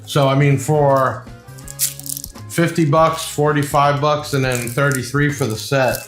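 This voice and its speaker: A man's voice: mid-range voice, flat delivery, a little slow. Casual man reviewing gear in an echoey room. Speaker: video host and shop seller presenting products. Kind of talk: casual unboxing review, talking to camera. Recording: echoey room with music behind.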